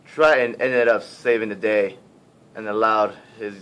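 A man's voice: fairly even intonation, measured pace, a bit bright, clean tone, low-quality recording. A man narrating an amateur puppet show in a casual, slangy storytelling style. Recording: faint noise and rumble, amateur recording.

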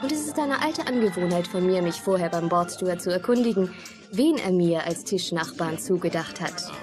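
A woman's voice: fairly low pitch, animated, normal speed, over music, natural voice. A woman playing a teasing, self-assured, seductive lady passenger in a German radio play. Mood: amused, teasing. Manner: coy, teasing.

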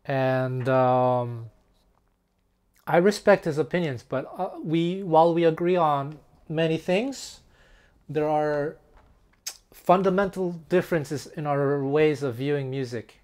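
This is a middle-aged man with a slightly high-pitched voice, a Taiwanese speaker. He talks casually to camera as a teacher in a small room. His delivery is earnest and reflective, often repeating a word as he finds his phrase. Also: slow pace, a little mellow, clear, resonant tone. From French-speaking Canada. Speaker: guitar teacher.

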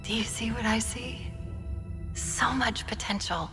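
A woman playing a quick-witted, emotional female AI companion in a video game, expressive and conversational. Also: a little high, long reverb tail, natural voice. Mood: wistful. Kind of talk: video game cutscene acting. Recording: music behind the voice.

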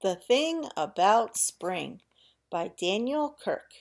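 A woman narrating a children's picture book aloud in an expressive, gentle way. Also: medium pitch, slow pace, vocal fry. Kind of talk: storybook read-aloud.

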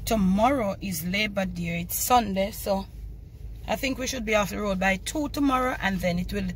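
A woman's voice: mid-range voice, moderate pace. A middle-aged Jamaican woman giving a chatty running commentary while driving and shopping, with Patois phrases mixed in. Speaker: family vlogger. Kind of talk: casual vlog narration. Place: in a car, then a large supermarket. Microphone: handheld phone camera. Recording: phone recording with road and store noise.

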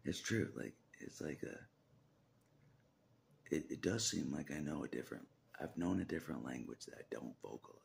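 A man's voice: a bass voice, expressive, normal speed, gravelly, a little hiss or hum. A man in his forties rambling in a personal vlog, with hesitant restarts, repeated phrases and half-whispered asides, filmed on a phone at arm's length in a small room. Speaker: religious vlogger.

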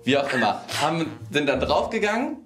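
A man's voice: fairly high pitch, very animated, brisk, fairly bright tone. A man in his twenties telling a casual story, rambling and correcting himself as he goes. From rural Germany. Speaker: storyteller in a lie-detection game show. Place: indoor room in front of a screen. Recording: roomy echo, music underneath. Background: music.

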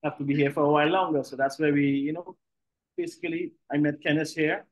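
A middle-aged man from Tobago with a Trinidad and Tobago accent, a restaurant co-founder speaking in a relaxed way in a video call interview. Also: on the high side, fast, no room sound.

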